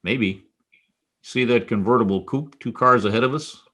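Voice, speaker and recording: An actor playing a hard-boiled, wisecracking private detective in a 1940s-style radio drama, with a wry, clipped delivery. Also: male voice, mid-range voice, normal speed, bright, forceful tone. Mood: probing, wry.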